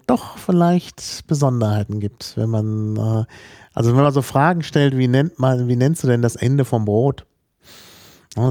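A man from Hamburg being interviewed about languages, explaining slowly and thoughtfully, with many fillers and restarts. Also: medium pitch, warm tone, no echo, studio quality. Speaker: linguist guest. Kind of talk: relaxed spoken explanation in an interview.

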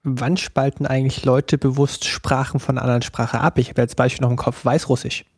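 A man's voice: mid-range voice, flat delivery, fairly quick, darkish tone, breathy edge, dry recording, studio quality. A man interviewing a guest, asking long and precise questions with curiosity.

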